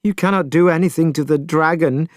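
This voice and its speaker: A man's voice: fairly high pitch, brisk, no echo, clean studio sound, pitched above the performer's own voice, close to an everyday voice. A man voicing a tearful, whining young priest. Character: weepy young male priest.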